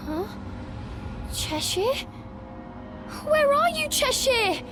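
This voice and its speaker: A woman's voice: very high-pitched, big pitch swings, very slow, over music, full character voice. A woman voicing a young witch girl who calls out in fear for her lost friend in a video game. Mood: scared. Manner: frightened, calling out. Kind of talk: video game cutscene acting.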